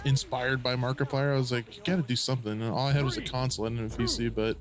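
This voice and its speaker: A man's voice: medium pitch, flat delivery, fast talker, crowd noise. A man in his late twenties in a casual, joking, profane chat with gaming friends as a streamer. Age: late 20s.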